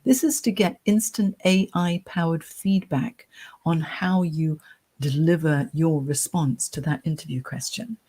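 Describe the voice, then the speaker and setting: Soft low-voiced career coach lady, bit husky, walking you thru her screen on a computer mic. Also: unhurried. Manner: chatty, reassuring, talks through clicks. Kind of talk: screen-share tutorial.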